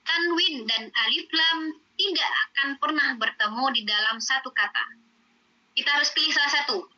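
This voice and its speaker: A woman's voice: sits high, normal speed, bright, forceful tone, dull audio. A woman Arabic teacher, patiently explaining in an online class with many fillers.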